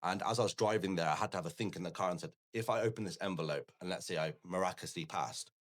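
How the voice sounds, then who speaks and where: A man's voice: low-pitched, fast talker. A man from Coventry with an English Midlands accent and Punjabi as his first language, telling personal stories as a talkative podcast guest. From Coventry, England. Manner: talkative, anecdotal, often quoting imagined dialogue. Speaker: podcast guest, forex trader and educator.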